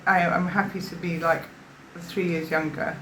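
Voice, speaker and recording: Low-voiced woman in her fifties, rambling. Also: level tone, normal speed, fairly bright tone, a little hiss or hum. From South Africa. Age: 50s. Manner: rambling and hesitant, with many fillers and restarts. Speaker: interviewee giving a witness statement. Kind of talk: personal testimony in conversation. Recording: roomy echo.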